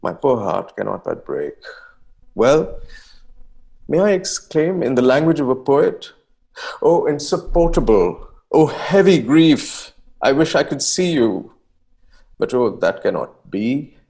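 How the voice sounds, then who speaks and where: An impassioned young poet of the nineteenth century, acted by a man, declaiming his letters in a dramatic reading. His delivery is florid and melodramatic, with a very animated pitch that rises and falls freely. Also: slow pace, creaky, long pauses, heavily acted. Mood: anguished, pleading.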